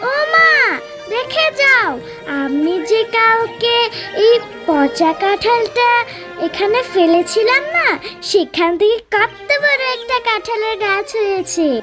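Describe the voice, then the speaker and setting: Tiny cartoon animal girl, extremely high pitched-up voice, childlike, sweet, wheedling, music underneath. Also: lively intonation, slow pace, full character voice. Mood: excited. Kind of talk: children's cartoon story acting.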